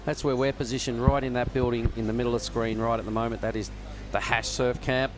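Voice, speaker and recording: A man giving relaxed, knowledgeable live surf contest commentary from a judging tower. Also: medium pitch, fast talker. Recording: noisy outdoor ambience with traffic.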